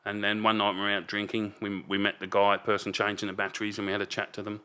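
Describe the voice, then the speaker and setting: Loud, lower-pitched Australian man in his fifties. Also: completely flat delivery, fast, clear, resonant tone. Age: 50s. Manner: rambling, trails off and restarts a lot. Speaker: podcast guest. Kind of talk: casual storytelling chat.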